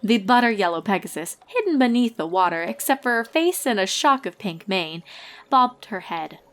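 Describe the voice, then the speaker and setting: A woman's voice: medium pitch, very animated, normal speed, a bit bright, slight background noise. A woman reading fan fiction aloud as a scripted story narrator.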